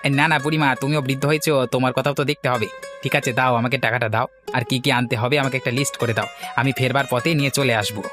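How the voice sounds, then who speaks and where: A put-upon young village helper from a cartoon, acted by a man. He complains extremely fast in a mid-pitched, exasperated voice, with clear music playing underneath. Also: steady tone, natural voice. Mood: relenting. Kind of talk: cartoon voice acting.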